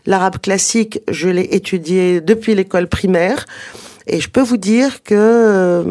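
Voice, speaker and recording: A native Arabic speaker of medium pitch giving explanations in an interview, hesitant and digressive, with emphatic points. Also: a little slow, darkish tone. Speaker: interviewed author and researcher on early Islamic texts.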